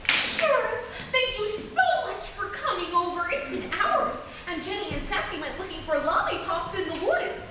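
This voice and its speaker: A woman's voice: extremely high pitch, very animated, normal speed. A woman playing a fretful, scatterbrained mother in live comedic radio theatre, exclaiming melodramatically. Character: scatterbrained suburban mother, fretful yet absurdly reassured. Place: theatre stage in front of audience. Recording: echoey live theatre, distant, noisy.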